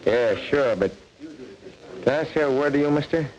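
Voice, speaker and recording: A man's voice: medium pitch, moderate pace, a little mellow, muffled recording, lightly acted. An actor playing a wary veteran convict and informer with slangy tough-guy talk, in a 1940s radio drama on an old recording. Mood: wary, warning.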